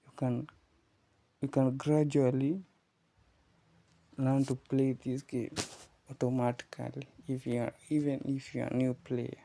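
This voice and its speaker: A man talks slowly and gravelly, his pitch staying flat as he explains step by step. His is Kiswahili, and he checks in with his viewers as he goes. The sound is recorded on a phone. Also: a little hiss or hum. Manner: explains patiently to viewers, often asking "you see?". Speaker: gaming channel host. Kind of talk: casual gameplay tutorial commentary.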